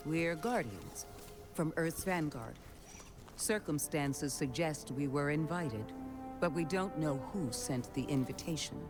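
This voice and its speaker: A man playing a polite, composed companion in a science fiction game cutscene, speaking in a measured, formal way. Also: on the high side, animated, music in the background. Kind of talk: video game cutscene dialogue.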